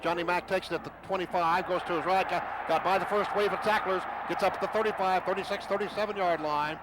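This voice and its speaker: Excitable radio football announcer, man. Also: high-pitched. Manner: rapid excited calls rising to shouts on big plays. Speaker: play-by-play announcer. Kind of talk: radio play-by-play commentary. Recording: noisy stadium radio broadcast.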